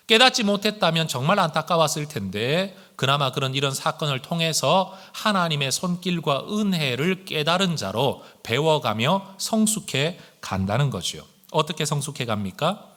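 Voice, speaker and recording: A man's voice: fairly high pitch, sharp tone. A male pastor preaching a Sunday sermon, speaking earnestly and addressing the congregation with rhetorical questions. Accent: standard Korean, with regional intonation slipping in when speaking fast.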